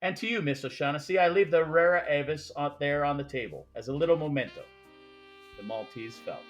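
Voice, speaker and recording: An actor playing a genial, grandiloquent criminal mastermind in a 1940s radio drama, florid and courtly. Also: male voice, fairly high pitch, brisk, faint music behind, close to an everyday voice. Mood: jovial farewell.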